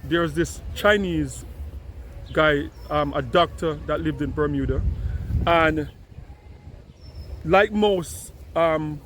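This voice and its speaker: Animated man with slight background noise. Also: on the high side, slow, long pauses. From Jamaica. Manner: animated, repeats words when starting sentences. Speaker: commentator responding to a video. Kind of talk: casual commentary.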